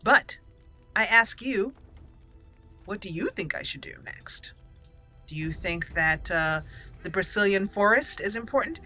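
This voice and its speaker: A woman's voice: mid-range voice, expressive, unhurried, long pauses, sharp tone, soft background music. A woman hosting a video game let's play with casual commentary.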